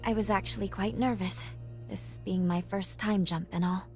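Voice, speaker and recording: An echoing, somewhat distant voice with music behind it: a woman playing a gentle young game heroine with an ordinary mid pitch. She speaks in polite, formal, measured phrases and sounds earnest throughout. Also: fairly even intonation, lightly acted. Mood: relieved, thoughtful. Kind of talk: scripted video game cutscene acting.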